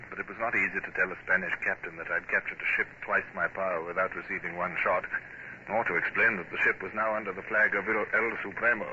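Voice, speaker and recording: A muffled, heavily hissing old radio recording carries a man acting a stiff English sea captain. He has a low voice and speaks in a formal, clipped, hesitant way, as if reporting from his own log. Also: natural voice.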